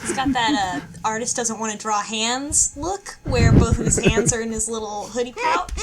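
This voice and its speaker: Slightly high-voiced woman chatting casually. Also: normal speed, over a noisy crowd, poor audio. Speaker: book club podcast panelist. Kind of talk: casual chat.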